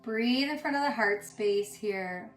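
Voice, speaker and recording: Slow, soothing woman guiding yoga, roomy echo. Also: a little high. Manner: soothing, unhurried, pausing between short phrases. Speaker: yoga instructor. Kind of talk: guided yoga and meditation instruction. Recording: roomy echo with music underneath. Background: music.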